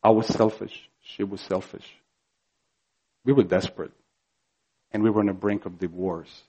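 A man from Croatia with a Croatian accent, preaching a sermon earnestly and emphatically, slow and building to fervor. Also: fairly low pitch, steady tone, dark tone, breathy edge, low-quality recording. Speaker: pastor.

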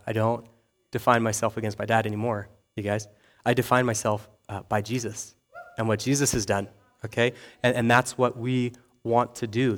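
A young adult man preaching to a congregation, conversational and self-deprecating, often checking in with "okay?" and "right?". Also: mid-range voice. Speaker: pastor. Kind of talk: sermon.

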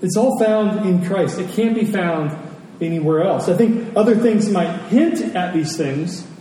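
Male preacher, higher-pitched, mellow voice, emphatic repetition; sermon delivered in a big echoing hall. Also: a little slow. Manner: emphatic, repeats phrases for effect. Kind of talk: sermon to a congregation. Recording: very echoey hall, distant mic, faint noise.

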